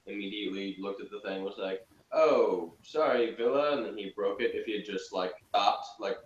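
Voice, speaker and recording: A man's voice: fairly low pitch, moderate pace, highs cut off. A man chatting casually and debating a TV episode in a contrary, dismissive way, peppering his sentences with "like". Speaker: fan commentator. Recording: roomy, distant mic with faint noise.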